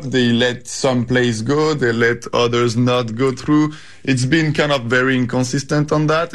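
Man chatting casually about football. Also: medium pitch. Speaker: football fan guest. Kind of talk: casual interview answer.

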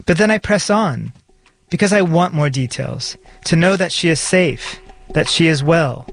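A man's voice: a little high, animated, unhurried, creaky. A man hosting a podcast, reading a scripted, personal narration. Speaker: podcast host. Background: music.

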